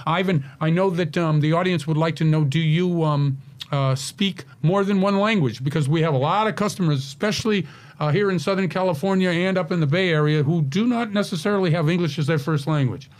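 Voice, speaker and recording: A middle-aged man hosting a radio talk show on mortgages, chatting casually with a guest and pausing often on fillers. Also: fairly high pitch. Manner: chatty, hesitant with many fillers.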